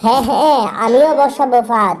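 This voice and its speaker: High-voiced excitable animal neighbour, by a woman. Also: moderate pace, a little hiss or hum, natural voice. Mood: agreeing, determined. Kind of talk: Bengali children's cartoon voice acting. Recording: music behind the voice.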